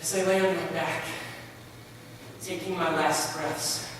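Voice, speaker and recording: A man's voice: fairly high pitch, level tone, takes it slowly, a bit bright. A man playing an earnest missionary martyr in a dramatic stage recital, projecting theatrically. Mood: grave narration. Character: earnest missionary father and martyr, telling his story. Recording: very echoey hall from far off, faint noise.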